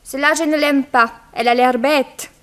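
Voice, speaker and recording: Cheeky proud young russian immigrant girl, high voice, old 60s french radio play. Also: steady tone, normal speed, fairly bright tone. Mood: cheeky, chatty. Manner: cheeky, self-assured. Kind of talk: 1960s French radio drama acting.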